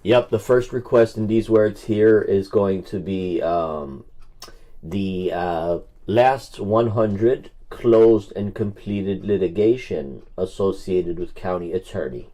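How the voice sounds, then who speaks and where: Low, mellow-voiced man chatting casually. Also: slow. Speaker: livestream host making records-request phone calls. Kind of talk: casual livestream chat and phone call.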